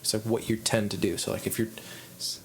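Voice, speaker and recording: A man's voice: lowish voice, fairly even intonation, fairly quick. A man with a Midwestern American accent, hosting a podcast and chatting casually, rambling and restarting his sentences as he thinks aloud. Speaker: podcast host, musician. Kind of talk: casual chat.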